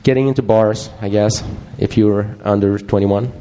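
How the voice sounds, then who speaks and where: A man with a Swedish accent gives a live talk to an audience. His voice is low and mellow, and he rambles loosely, restarting sentences and dropping dry asides. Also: steady tone, normal speed, slight background noise, poor audio. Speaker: conference presenter.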